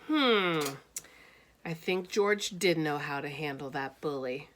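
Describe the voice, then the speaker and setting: Slow, low-pitched woman reading a storybook. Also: a bit bright, slight background noise. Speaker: teacher reading aloud. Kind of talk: children's storybook read-aloud with casual asides.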